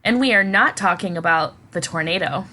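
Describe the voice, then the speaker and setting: A woman in her thirties with an Iowa accent, co-hosting a podcast in casual chat while hoarse and raspy from a cold. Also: on the low side, moderate pace, pushed and bright. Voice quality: husky, raspy. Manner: chatty, self-deprecating. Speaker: podcast co-host.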